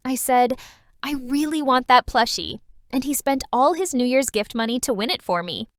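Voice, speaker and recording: A woman's voice: sits high, lively intonation, moderate pace, a bit bright, no echo. A woman playing a cheerful late-night radio DJ who reads listeners' letters expressively in a manga dub. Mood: wistful reading. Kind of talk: radio presenting, anime-style dub.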